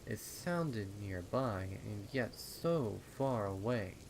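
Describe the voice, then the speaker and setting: A man narrating a dark horror story in a dramatic, ominous style with deliberate phrasing. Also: fairly low pitch, animated, slight background noise, low-quality recording. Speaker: fan fiction narrator. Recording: rain and storm ambience behind. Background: rain.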